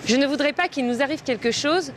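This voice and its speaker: A woman's voice: high voice, a little fast, close to an everyday voice. An actress in her twenties playing a cautious, rule-minded young woman in a scripted lesson dialogue. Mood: cautious, insistent. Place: outdoors on a city street, by and in a car. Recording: faint street and vehicle noise.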